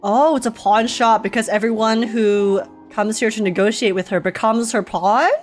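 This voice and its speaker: A woman's voice: on the high side, steady tone, moderate pace, faint music behind. A woman reacting live to a story game, casual and playful, exclaiming and poking fun at herself. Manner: playful, self-deprecating, exclaiming.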